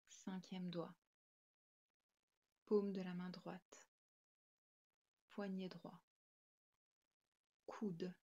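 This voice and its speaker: A woman guiding a yoga nidra meditation in a calm, gentle, soothing manner with spaced instructions. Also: on the low side, muffled recording.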